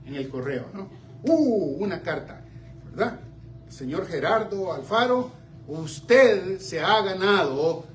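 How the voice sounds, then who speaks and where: Animated older Central American preacher. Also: male voice, on the high side. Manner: animated, swings from loud exclamations to quiet asides. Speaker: pastor. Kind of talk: sermon. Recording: slightly distant mic with faint room noise.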